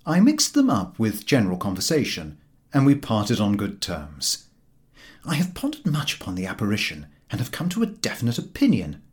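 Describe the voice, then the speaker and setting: A man reading a humorous literary story aloud in an expressive, dryly droll way. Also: on the low side, normal speed. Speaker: audiobook reader.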